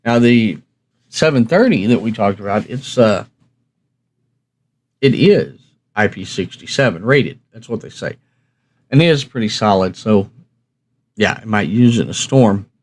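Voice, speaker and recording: A man with a fairly low voice talks straight to his viewers in a casual, instructional way. He sounds plain-spoken and folksy, rambling a little as he explains things like the host of a hobby review channel. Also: animated, measured pace, pauses often.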